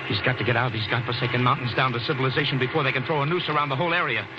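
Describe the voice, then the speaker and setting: A man's voice: medium pitch, steady tone, very fast, lightly acted. An actor playing a nervy, impatient, long-winded man, a bus passenger in a 1940s-style radio thriller, agitated and stumbling over words. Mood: intent, theorizing. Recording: muffled, noisy old radio broadcast.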